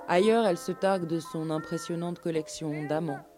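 A woman's voice: fairly low pitch, fairly quick. A woman radio presenter reading a scripted documentary narration in a literary, storytelling way. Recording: live radio show with music. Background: music.

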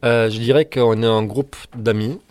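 A man's voice: mid-range voice, fairly even intonation, moderate pace, a little mellow. A man whose first language is Turkish, a rock singer and guitarist answering interview questions in a thoughtful, opinionated way with frequent hesitations.

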